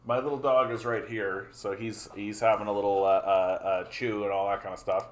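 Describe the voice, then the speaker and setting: A man's voice: fairly low pitch, little pitch movement, fairly quick, slight background noise. 40yo canadian guy chatting on his stream, rambly, lots of uhs, says hi to chat a lot. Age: 40s. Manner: rambling, repeats phrases, many fillers; greets viewers by name. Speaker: livestream host. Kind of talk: casual livestream chat.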